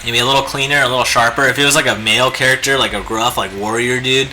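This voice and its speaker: A hoarse, raspy man chatting casually and rambling with lots of fillers while he draws on a live stream. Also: mid-range voice, fairly even intonation, quick pace, sharp tone, slight background noise. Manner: laid-back, rambling, many fillers. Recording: music playing behind the voice. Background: guitar music.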